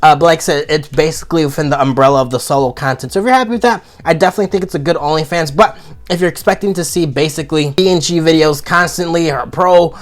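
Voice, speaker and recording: A man's voice: on the high side, quick pace. A man with a froggy, sore-throated voice from being sick, giving a blunt, profane comedic review in a casual monologue. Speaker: video reviewer.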